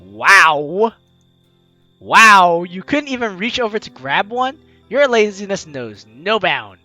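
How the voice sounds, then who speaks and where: A video game host with an animated, teasing, exclamatory way of talking, reading aloud and commenting playfully. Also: mid-range voice, takes it slowly, dry recording, soft background music. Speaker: let's-play video host.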